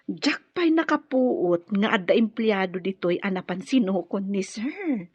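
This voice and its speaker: Slow, teasing office gossip. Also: female voice, medium pitch, animated, a little mellow, husky, dry recording. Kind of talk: Ilocano radio drama acting. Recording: dull lo-fi audio.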